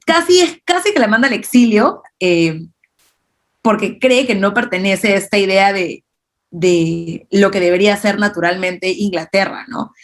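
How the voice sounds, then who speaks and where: A woman's voice: medium pitch, moderate pace. A Peruvian woman speaking Spanish with a Peruvian accent, explaining her ideas thoughtfully in a conversational discussion as a guest. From Peru. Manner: thoughtful, explanatory, with frequent tag questions.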